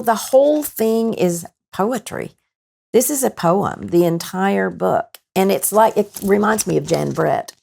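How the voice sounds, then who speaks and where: Female book panelist, lowish pitch, casual, appreciative, digressive. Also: creaky, a little mellow. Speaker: show panelist.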